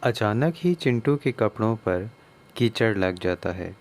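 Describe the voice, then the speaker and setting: A man's voice: on the low side, lively intonation, measured pace, darkish tone. A man reading scripted narration for a children's story as the narrator.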